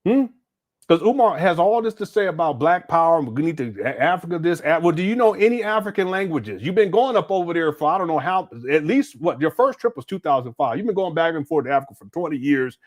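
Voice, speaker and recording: Exasperated man giving lively commentary. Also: fairly high pitch, quick pace. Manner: exasperated, laughing, slangy asides. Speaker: commentator reacting to a clip. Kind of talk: casual reaction commentary.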